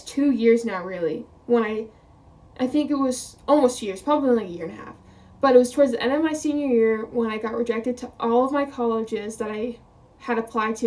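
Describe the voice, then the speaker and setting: A woman's voice: high voice, recorded from a distance. A woman of twenty talking casually to camera as a vlogger, rambling, quoting her own thoughts and laughing often. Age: 20s.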